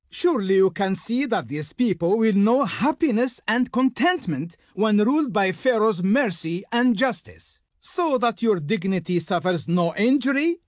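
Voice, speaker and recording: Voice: mid-range voice, dry recording, clean studio sound. An actor playing a haughty, grandiose Egyptian diplomat in a video game, speaking in a pompous, formal, declamatory way. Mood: stately demanding. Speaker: game character, faction envoy. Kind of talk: theatrical video game voice acting.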